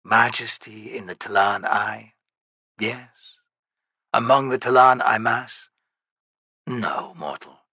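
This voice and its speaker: A man voicing an ancient, sorrowful undead male shaman in an audiobook, speaking slowly and gravely. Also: fairly low pitch, pushed and bright, dry recording, heavily acted. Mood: solemn sorrowful. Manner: slow, solemn, measured.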